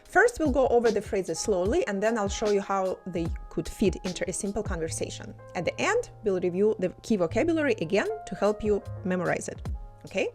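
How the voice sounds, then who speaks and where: A woman teaching language in a podcast lesson, speaking slowly and clearly with a bright, encouraging delivery. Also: mid-range voice.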